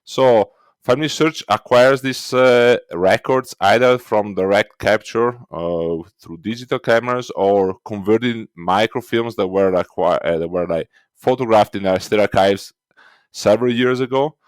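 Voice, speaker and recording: Italian-accented man, low and dry. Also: normal speed, clear, resonant tone, no echo. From Italy. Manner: explains step by step with frequent fillers. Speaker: guest, records indexing team lead. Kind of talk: conversational interview.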